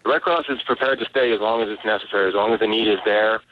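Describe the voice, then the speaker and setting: A man, a spokesman giving halting, informative answers in a live phone interview over a tinny line. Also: lowish voice, slight background noise. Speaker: relief agency spokesman. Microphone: telephone.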